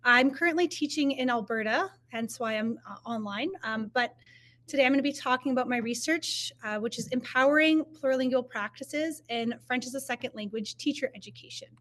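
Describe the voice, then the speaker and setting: A woman's voice: high-pitched, a little fast, a bit bright. Young Canadian woman presenting research online. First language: English. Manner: informal and earnest, many fillers and self-corrections. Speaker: master's student presenting research. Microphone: computer mic on a video call.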